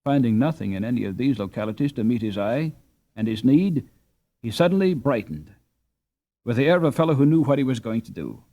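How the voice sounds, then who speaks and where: Animated man narrating a storybook. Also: medium pitch, fairly quick. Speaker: audiobook narrator. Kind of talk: expressive scripted storybook narration.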